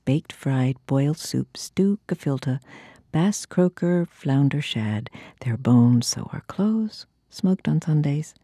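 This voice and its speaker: A woman with a husky, breathy voice gives a dramatic spoken-word poetry recital, phrasing deliberately and theatrically and often dropping to a hush. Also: sits low, lively intonation, dry recording, clean studio sound. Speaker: poetry reader.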